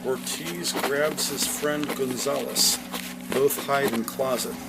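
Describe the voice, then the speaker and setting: A man's voice: medium pitch, steady tone, takes it slowly, loud music behind the voice, poor audio. A man narrating a scripted crime drama as a dramatic, detail-heavy storyteller.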